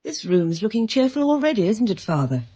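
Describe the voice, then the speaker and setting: A woman's voice: medium pitch, very animated, a little fast, warm tone, husky, muffled recording. A woman playing a genteel, anxious widowed mother in a radio mystery drama, with a polite, refined, fretful manner. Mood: pleased, affectionate.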